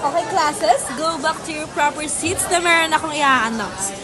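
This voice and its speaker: Scolding classroom teacher played by a young Filipino woman, high-pitched, brisk and bossy, crowd chatter behind. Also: expressive, music in the background. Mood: stern, brisk. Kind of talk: amateur school radio drama acting.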